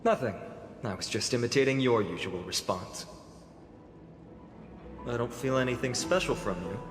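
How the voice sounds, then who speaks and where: A man's voice: medium pitch, moderate pace, close to an everyday voice. A man playing a poetic, teasing young hero in an English anime game dub, theatrical and eloquent. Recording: echoey hall reverb, music behind.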